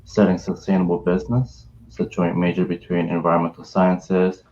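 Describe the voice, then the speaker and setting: A man's voice: low voice, completely flat delivery, fairly quick, darkish tone, highs cut off. A young man from Canada in his twenties, a student telling a story on a video call, hesitant with many fillers and restarts. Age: 20s. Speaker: university student panelist. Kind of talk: casual storytelling on a webinar.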